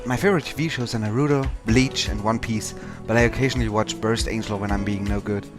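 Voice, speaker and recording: A man's voice: on the low side, steady tone, fast talker, music in the background, lightly acted. A man voicing an angsty, average teenage schoolboy, narrating a visual novel parody with mock-dramatic earnestness. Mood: earnest.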